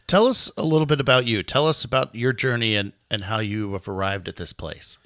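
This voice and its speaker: A man's voice: lowish voice, brisk, a bit bright, dry recording, clean studio sound. A man co-hosting a podcast, introducing a guest in an upbeat, enthusiastic way. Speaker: podcast co-host.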